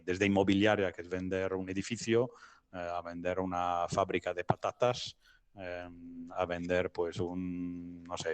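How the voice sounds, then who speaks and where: A middle-aged man from Italy, a non-native Spanish speaker, explaining his work as an interview guest in a halting way, searching for words. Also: low voice, no echo. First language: not Spanish. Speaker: interview guest, business advisor. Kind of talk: conversational explanation.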